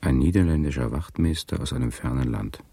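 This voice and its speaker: A man's voice: extremely low pitch, a little fast, vocal fry, warm tone, husky, exaggerated voice. An actor playing a policeman muttering his thoughts to himself in a German radio crime drama. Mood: musing. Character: musing male policeman thinking to himself.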